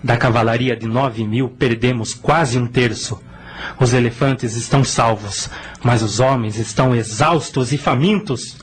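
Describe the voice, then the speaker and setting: A man playing a loyal, excitable officer of an ancient army in a dramatic, earnest radio drama style. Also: medium pitch, unhurried, soft background music, lightly acted. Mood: grave, reporting.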